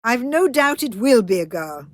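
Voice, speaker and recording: A woman voicing a stern, blunt elderly great-aunt in a storybook, with imperious, brisk questioning. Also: on the high side, very animated, no room sound.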